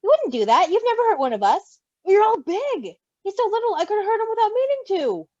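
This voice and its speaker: A woman voicing a kindly, soft-hearted older male creature in a story reading, coaxing and reassuring. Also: very high-pitched, big pitch swings, brisk, no room sound, voice pushed up. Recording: dull lo-fi recording.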